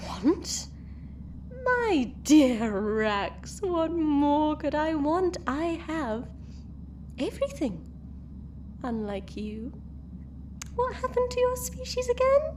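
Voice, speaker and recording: A woman's voice: high-pitched, very animated, slow, long pauses, darkish tone, a little hiss or hum, exaggerated voice. A woman voicing a cruel, mocking female time-travelling villain who taunts her captives in a sing-song, theatrical way. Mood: taunting. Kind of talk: fan audio drama acting.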